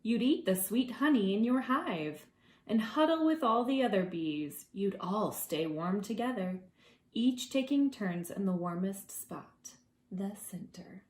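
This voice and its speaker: Woman, preschool teacher, children's storytime read-aloud, slow pace, gentle expressive delivery, medium pitch, roomy echo. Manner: gentle, deliberate, drawing out words for young listeners. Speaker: preschool teacher reading a picture book.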